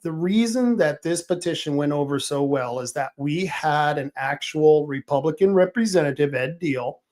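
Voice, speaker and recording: Rambling higher-voiced male show host. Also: moderate pace, a little mellow. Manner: rambling, with restarts and fillers. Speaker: political talk show host. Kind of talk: casual livestream talk.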